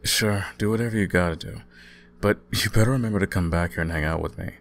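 A young man playing an easygoing, caring student roommate in an intimate ASMR audio roleplay, talking casually with gentle teasing. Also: deep voice, quick pace. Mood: relaxed, teasing. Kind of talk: intimate ASMR boyfriend-style roleplay, conversational acting.

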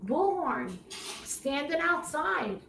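A woman with a high voice gives opinionated livestream commentary in a blunt, sweary way. The playback sounds roomy, with a faint layer of noise. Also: lively intonation, takes it slowly, creaky, fairly bright tone.

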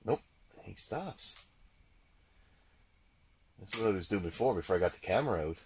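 A man giving casual running commentary as he fishes, muttering and repeating himself in a husky, gravelly voice. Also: low-pitched, expressive, moderate pace, slight background noise. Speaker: ice angler demonstrating a fish finder. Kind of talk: excited casual running commentary while fishing.

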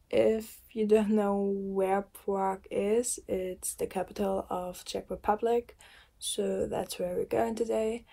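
A young woman with a raspy voice chatting casually to her phone camera, rambling with fillers. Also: mid-range voice, warm tone, dry recording. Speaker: vlogger. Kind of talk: casual vlog chat. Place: inside a car. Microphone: phone camera at arm's length.

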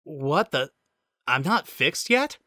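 A man's voice: fairly high pitch, big pitch swings, takes it slowly, pushed and bright, no room sound, clean studio sound, pitched above the performer's own voice, exaggerated voice. Man doing a brash, cocky young robot. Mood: startled, grumbling. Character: cocky, joking young male robot, easily indignant.

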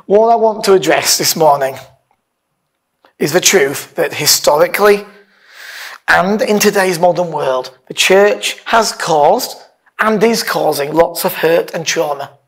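A man's voice: high-pitched, unhurried, a bit bright. A man, a pastor giving an informal, conversational sermon from a church stage with emphatic stresses. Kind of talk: informal sermon, partly reading aloud. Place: church hall, speaking from a lectern on stage.

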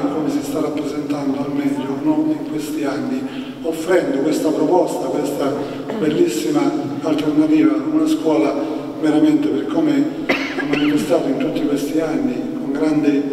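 A middle-aged mayor speaks from a lectern in long, rambling phrases with a mellow, dark tone. His voice booms around a huge church and is heard from well across the room. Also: a little hiss or hum. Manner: rambling, fast run-on phrases. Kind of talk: public speech. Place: large church interior. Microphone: lectern mic, far camera. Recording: echoey church from far off.

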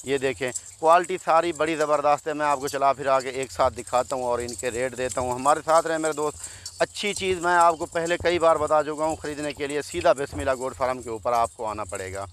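A man in his thirties from Pakistan talks in a slightly high voice, chatty and salesman-like. He keeps addressing his friends as he shows his herd. He speaks outdoors in an open field into a phone held at arm's length. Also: steady tone, brisk, a bit bright, a little hiss or hum. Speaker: goat farm owner and seller. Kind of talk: casual sales walkthrough vlog.

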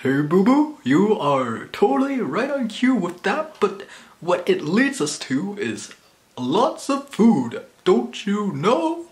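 A young man doing a slow, drawling cartoon bear. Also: high voice, big pitch swings, pitched above the performer's own voice, heavily acted. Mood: jovial. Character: jovial slow-talking male cartoon bear.

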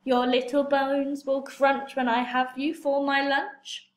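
A woman's voice: high-pitched, level tone, slow. A woman voicing a hungry, menacing crocodile in a storybook, speaking in a snapping way. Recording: roomy echo.

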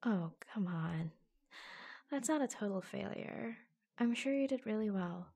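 An attentive, tender office assistant, played by a woman in an intimate ASMR roleplay. She speaks very slowly and softly, close to the mic, in a breathy voice with plenty of vocal fry. Her phrasing is gentle and hesitant, drifting toward a whisper. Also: medium pitch, lively intonation, full character voice. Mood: reassuring. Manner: hushed, gentle, hesitant pauses. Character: attentive, reassuring female office assistant, secretly affectionate. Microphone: close microphone.

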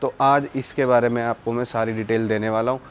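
A man's voice: medium pitch, fairly even intonation, fairly quick, slight background noise. A young man gives a casual, explanatory product review in a conversational way, mixing in English words. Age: young adult. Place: outdoors, terrace and courtyard.

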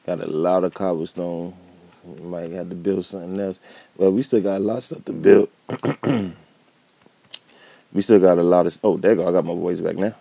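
A man's voice: low voice, steady tone, normal speed, vocal fry, dark tone, breathy edge, dry recording. A man giving laid-back commentary while playing a building game, thinking aloud as he works, with a slight cold. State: catching a cold. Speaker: gameplay commentator. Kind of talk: casual gameplay commentary.

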